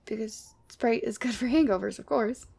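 A woman's voice: fairly high pitch, moderate pace, creaky, warm tone, breathy edge. A woman playing a sweet, teasing, clingy demon girlfriend in a casual ASMR roleplay. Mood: teasing, caring. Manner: casual, teasing, playfully exasperated. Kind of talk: ASMR roleplay audio drama.

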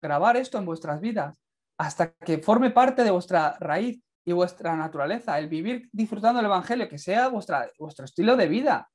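A man's voice: sits high, animated, normal speed. A young man with a Castilian Spanish accent giving an earnest, fervent sermon and closing prayer, speaking to a camera indoors. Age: young adult. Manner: earnest, fervent, builds to emphatic peaks. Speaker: preacher. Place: indoors, in front of a plain light wall.

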